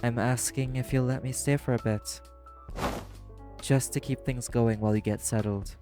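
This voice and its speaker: A man acting out a jaded, sardonic club manager in an audio drama. The voice is mid-pitched and world-weary, with a confrontational edge, over soft background music. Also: steady tone, normal speed, lightly acted. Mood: softened, earnest. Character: apathetic, cynical socialite club manager and parent. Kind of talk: scripted audio roleplay acting.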